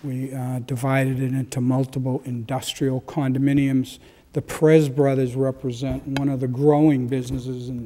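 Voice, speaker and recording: A man in his sixties giving halting, semi-formal testimony to a council at a podium microphone. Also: mid-range voice, warm tone. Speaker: real estate broker addressing a council. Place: large council chamber. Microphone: gooseneck microphone on a podium. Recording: reverberant council chamber.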